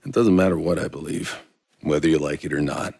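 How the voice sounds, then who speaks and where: An actor playing a world-weary, sardonic soldier in a video game, gravelly, dry and blunt. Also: male voice, rumbling low, fairly even intonation, brisk, darkish tone, no echo, heavily acted. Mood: subdued, earnest. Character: gruff, world-weary male Outrider soldier, sardonic and foul-mouthed.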